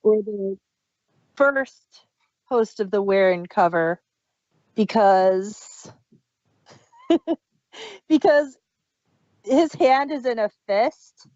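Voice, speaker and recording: A woman's voice: mid-range voice, expressive, extremely slow, long pauses, a little mellow, dry recording, lo-fi sound. A woman co-hosting a podcast, talking casually as a fan in discussion. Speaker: podcast co-host.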